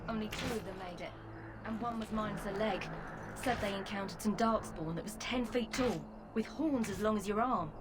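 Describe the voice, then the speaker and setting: An actress playing a cynical, weary soldier with a dry, sardonic manner. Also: female voice, medium pitch, normal speed, background noise, natural voice. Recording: game dialogue over camp ambience.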